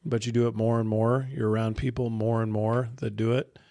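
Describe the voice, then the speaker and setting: A man in his thirties co-hosting a podcast, speaking in a measured, reflective way. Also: lowish voice, flat delivery, warm tone, no room sound, studio quality. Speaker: podcast co-host. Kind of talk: casual podcast chat.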